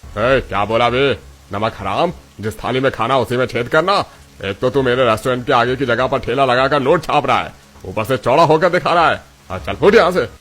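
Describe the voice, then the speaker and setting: A man's voice: mid-range voice, brisk, a bit bright, faint music behind, lightly acted. A man voicing an arrogant, jealous, scheming restaurant owner in a cartoon story, taunting and boastful. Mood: angry. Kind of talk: Hindi cartoon story dialogue.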